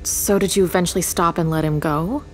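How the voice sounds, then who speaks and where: A woman's voice: on the low side, steady tone, fairly quick, faint music behind, natural voice. A woman playing a blunt, scornful homicide detective in an audio drama, stern, sarcastic and foul-mouthed.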